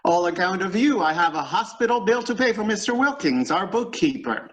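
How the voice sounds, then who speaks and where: A man's voice: high voice, animated, fairly quick, a bit bright. A man acting an exasperated, sarcastic office boss in an old-time radio comedy, fussy and dry. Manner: fussy, dry, put-upon.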